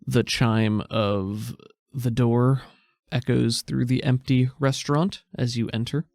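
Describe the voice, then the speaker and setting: A man improvising scene descriptions as a game master, measured and pausing between phrases. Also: mid-range voice, steady tone, creaky, a little mellow, no room sound. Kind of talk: improvised tabletop game narration.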